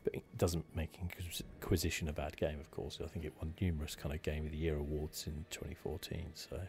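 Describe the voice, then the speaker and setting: Very deep-voiced man, relaxed ASMR game commentary. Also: a little fast, creaky. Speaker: gaming video host.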